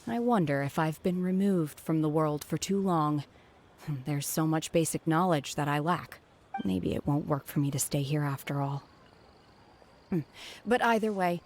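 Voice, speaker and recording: An actress playing a reserved young woman raised apart from people, speaking in a calm, measured, formal way. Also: deep voice, natural voice. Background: soft game music.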